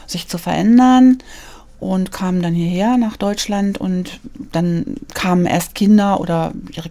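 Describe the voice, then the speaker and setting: Earnest German-speaking woman, mellow voice. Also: fairly low pitch. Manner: earnest, searching for words with many fillers. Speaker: author interviewed about portraits of immigrant women. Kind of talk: thoughtful interview answers. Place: studio, seated at a mic.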